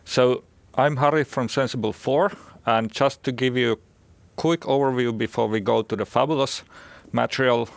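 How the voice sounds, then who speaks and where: A man with a Finnish accent gives a conference presentation as a company executive, speaking haltingly and often repeating words. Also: mid-range voice, fairly even intonation, vocal fry, no echo. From Finland.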